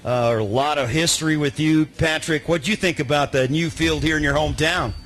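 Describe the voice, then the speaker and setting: A man's voice: fairly high pitch, brisk, fairly bright tone, a little hiss or hum. A man hosting a post-game sports interview in a chatty, welcoming way. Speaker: interviewer and host.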